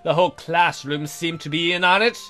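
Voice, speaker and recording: A man's voice: on the high side, fairly even intonation, fairly quick, bright, forceful tone, faint music behind. A man hosting a let's play, reading a visual novel aloud in a jokey, theatrical, exaggerated way with comic asides.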